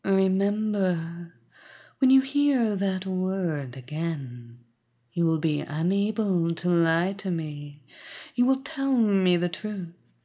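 A woman voicing a cold, domineering female spy who issues slow, deliberate commands. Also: fairly low pitch, lively intonation, mellow. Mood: commanding.

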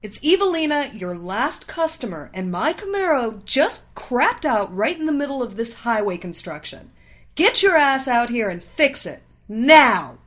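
A woman voicing an angry, haughty, sharp-tongued young woman in a story, barking out her words. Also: medium pitch, big pitch swings, a little slow. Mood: furious. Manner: barking, spitting out commands.

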